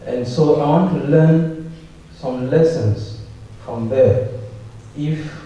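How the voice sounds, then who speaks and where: A man from Africa asking the ambassador a formal question from the floor, measured and repeating phrases. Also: fairly high pitch, mellow. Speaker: audience member asking a question. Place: conference auditorium. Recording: dull, echoey hall audio from far off.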